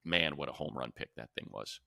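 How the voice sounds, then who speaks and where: A man's voice: very deep voice, animated, brisk, vocal fry, sharp tone, no echo, clean studio sound. An American man co-hosting a sports show with casual, opinionated commentary, speaking emphatically and repeating phrases for effect. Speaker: sports podcast co-host and commentator.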